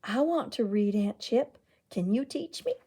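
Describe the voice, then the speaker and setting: A curious, earnest little boy from a storybook voiced by a woman reader. The voice is fairly high and lively, rising and falling a lot as he wonders eagerly about things. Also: measured pace, breathy edge, heavily acted.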